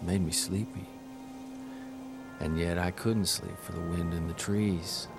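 An actor playing a wistful writer in an audio drama, reading in a measured, contemplative way. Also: male voice, deep voice, fairly even intonation, gravelly. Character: wistful writer son, reflective Midwestern farm boy grown up. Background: music.

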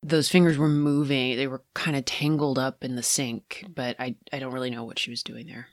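An actress playing a rattled, analytical woman investigator who haltingly recounts eerie sightings in a confessional horror audio drama. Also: extremely low pitch, flat delivery, no echo, studio quality. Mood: tense, haunted. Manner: halting, hesitant, self-correcting.

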